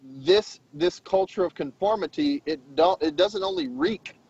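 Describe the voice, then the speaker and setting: A man's voice: on the high side, wildly swooping pitch, unhurried, gravelly, darkish tone, husky. A man in his thirties co-hosting a political podcast, chatting casually and opinionated, full of "you know" asides. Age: 30s. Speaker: podcast co-host. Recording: dull lo-fi sound.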